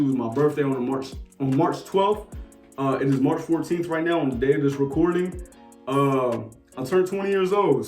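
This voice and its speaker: A man's voice: on the high side, far from the mic. A man in his twenties from New Jersey with a Jersey accent, casually answering viewer questions in a laid-back, slangy way full of fillers. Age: 20s. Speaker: sneaker YouTuber. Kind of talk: casual Q&A vlog. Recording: echoey room, off-mic, faint music.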